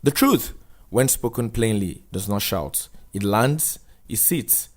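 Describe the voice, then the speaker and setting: A man narrates a scripted drama, laying out each scene in turn. His voice is on the low side, and his delivery is deliberate and solemn. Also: lively intonation.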